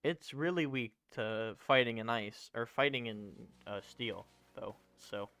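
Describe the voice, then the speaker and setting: A man's voice: medium pitch, a little slow, pushed and bright. A twenty-year-old man casually chatting while streaming a game, rambling and answering viewers as he plays. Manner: rambling, self-correcting, answering chat. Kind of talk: casual gaming livestream chat.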